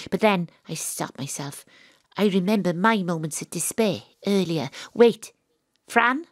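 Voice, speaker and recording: An actress playing a sympathetic, self-mocking private detective, narrating an audio drama in a theatrical, wry manner. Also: female voice, fairly low pitch, no room sound, clean studio sound. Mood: reflective, wry, then resolute. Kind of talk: first-person audio drama narration and dialogue.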